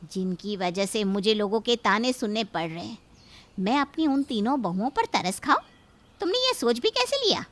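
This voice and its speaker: A woman's voice: on the high side, sing-song, moderate pace, fairly bright tone. A woman playing a domineering, greedy middle-aged mother-in-law in a cartoon story, haughty and threatening as she scolds. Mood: scolding, threatening. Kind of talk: Hindi cartoon story acting.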